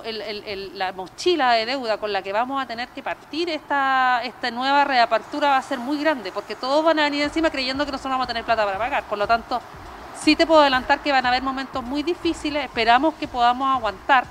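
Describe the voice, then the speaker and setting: A woman's voice: high voice, quick pace, fairly bright tone. A middle-aged woman with a Chilean accent, earnest and emphatic, answering a news interview as a small business owner through a face mask. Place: open-sided restaurant terrace by a street. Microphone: handheld reporter microphone. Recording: outdoor street noise with traffic.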